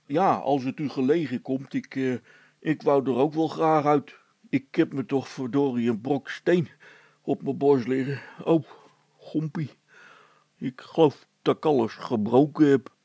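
A man's voice: medium pitch, unhurried, mellow, breathy edge, no echo, pitched above the performer's own voice. A man voicing a plaintive village policeman in a story, forever grumbling and complaining about being neglected. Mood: groaning, sorry for himself.

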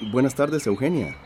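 An actor playing a shy but persistent village suitor in a radio drama, hesitant and ingratiating, with a Central American accent. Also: male voice, medium pitch, a little mellow. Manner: hesitant, throat-clearing, ingratiating. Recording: faint outdoor ambience noise.

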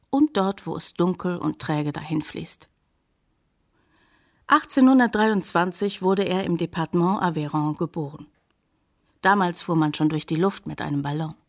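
Resolute daughter telling her story in a radio drama, deliberate, lowish voice with some fry. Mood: reflective. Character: scientist's devoted daughter, reflective, resolute narrator. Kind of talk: poetic scripted radio drama narration.

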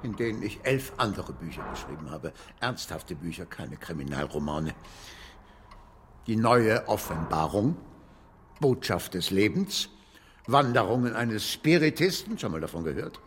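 An actor playing an irascible elderly gentleman author in a radio drama, with a brusque, imperious manner. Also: fairly low pitch, very animated, a little slow, long reverb tail, slight background noise. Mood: gruff, irritated. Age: older. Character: irascible elderly English gentleman author, gruff, easily nostalgic. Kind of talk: German radio drama acting.

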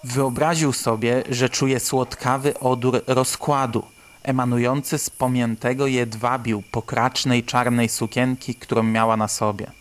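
Mężczyzna, narrator o średnim głosie. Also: male voice, medium pitch, level tone, measured pace. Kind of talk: scripted literary narration for radio drama.

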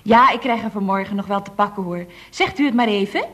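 An actress playing an inquisitive office secretary in a radio comedy, with a theatrical, dry manner. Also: female voice, mid-range voice, brisk, a bit bright, natural voice. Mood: officious, singsong. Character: chatty, dryly questioning female office secretary. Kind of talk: 1970s Dutch radio comedy acting.

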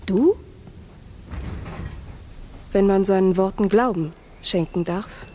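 An actress playing an anxious, determined wife in a radio drama, insistent and sometimes whispering. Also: female voice, medium pitch, slow pace, warm tone, a little hiss or hum, natural voice. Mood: tense.

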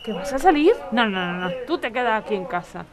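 A woman acting an anxious, fed-up wife in a radio play. She talks fast and fretfully, with a Spanish accent. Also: fairly high pitch, expressive, lightly acted. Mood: fearful, commanding. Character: anxious, exasperated, fearful wife. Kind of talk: Spanish audio drama acting.

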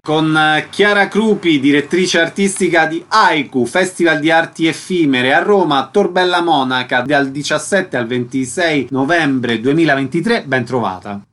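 A man works as a radio interviewer. His voice sits a little high, and he delivers concise, prepared questions and introductions in a measured way. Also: a bit bright, soft background music.

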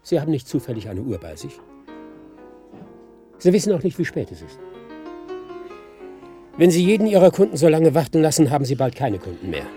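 A sardonic, ill-humoured private detective of middle age in a radio drama. His pitch swings widely as he tosses out rude, weary wisecracks, with music playing behind him. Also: very animated, brisk, long pauses, dark tone. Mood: irritated, sarcastic. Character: jaded, ill-humoured hard-drinking male private detective. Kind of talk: German radio play acting. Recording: studio radio play with music.

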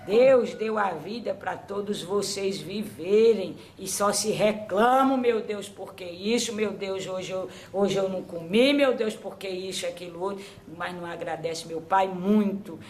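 A woman acting as a medium for an old grandmother spirit, giving slow, repetitive spiritual advice that trails off softly. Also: mid-range voice, slight background noise, lightly acted. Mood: counseling. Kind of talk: spiritual counseling.

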